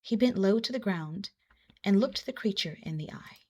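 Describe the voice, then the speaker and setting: A woman storyteller narrating a children's folktale in an expressive style. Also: mid-range voice, normal speed, husky. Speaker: storyteller and host. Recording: music behind the voice.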